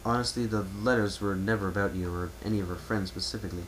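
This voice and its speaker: A man's voice: on the low side, fairly even intonation, fast, a bit bright, close to an everyday voice. A man playing a young male pony traveler who talks in a polite, casual, slightly hesitant and reserved way. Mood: friendly, earnest. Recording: noisy amateur home recording.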